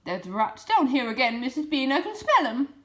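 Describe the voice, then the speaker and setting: A woman's voice: sits high, big pitch swings, moderate pace, fairly bright tone. A woman voicing a big, bloodthirsty but obedient female farmhouse servant in a storybook, calling out and grumbling. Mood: dutiful.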